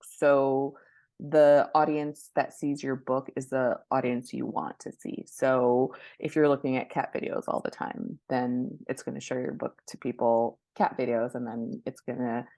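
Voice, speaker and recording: A woman with a notably low voice talks as an expert guest in an interview. Her explanations are conversational, and the sound is dry with very little room in it. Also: fairly even intonation, a little slow, warm tone, dry recording. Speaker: guest book marketing expert.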